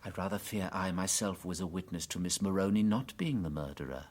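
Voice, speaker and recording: An English actor playing a gentle, unworldly but shrewd priest detective in a radio drama, hesitant and politely musing. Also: male voice, low voice, brisk. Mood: apologetic, hesitant. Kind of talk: full-cast radio drama acting.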